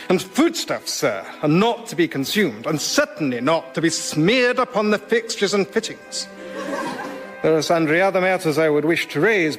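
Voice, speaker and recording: A prim Victorian gentleman scientist, played by a man in a radio comedy sketch. His voice is a little high, and he is formal, pompous and indignant, with music faintly present. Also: close to an everyday voice.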